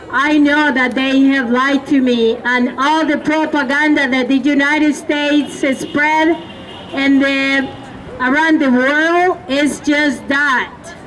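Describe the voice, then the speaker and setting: A high-voiced woman from El Salvador gives a slow, earnest speech to a rally crowd. She pauses often and calls out to the listeners. She is recorded from a distance, with the crowd faintly audible around her. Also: fairly even intonation, fairly bright tone, faint music behind. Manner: impassioned, halting, calls out to the crowd. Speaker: rally speaker. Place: outdoor rally. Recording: distant mic with crowd noise.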